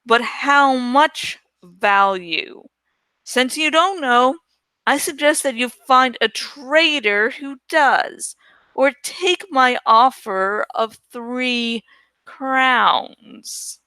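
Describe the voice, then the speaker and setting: A woman voicing a gruff, surly, calculating male village butcher in a storybook reading, curt and impatient. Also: sits high, drawn-out words, sharp tone, dry recording, heavily acted. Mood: condescending.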